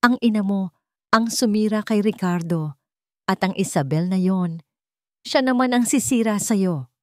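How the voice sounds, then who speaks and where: Cold older female villain for radio drama, played by a woman, taunting, deliberate, menacing; dry, close sound. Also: mid-range voice, a little mellow, dry recording, voice pushed up, lightly acted. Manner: deliberate, taunting, savoring each word. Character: cold, vengeful older villainess, sneering, menacing mother-in-law. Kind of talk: Tagalog radio drama storytelling.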